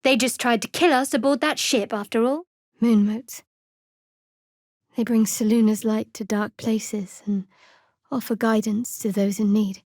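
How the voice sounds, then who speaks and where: A woman's voice: fairly high pitch, fairly even intonation, unhurried, darkish tone, no echo. An actress playing a guarded, sardonic young female cleric in a fantasy video game, speaking in a dry, clipped manner. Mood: guarded, threatening, then earnest, awed.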